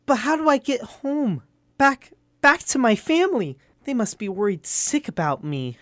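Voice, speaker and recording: An eager young boy with a fairly high voice, acted by a woman. He is polite, earnest and wide-eyed, a superhero's sidekick in a radio play, recorded close and dry. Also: sing-song, moderate pace, a bit bright, dry recording. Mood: worried, curious.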